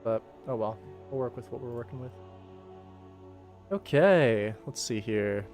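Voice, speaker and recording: A man's voice: mid-range voice, unhurried. A man streaming his work as an animation director, talking informally and rambling as he thinks aloud. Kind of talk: casual livestream work commentary. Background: game ambient music playing softly.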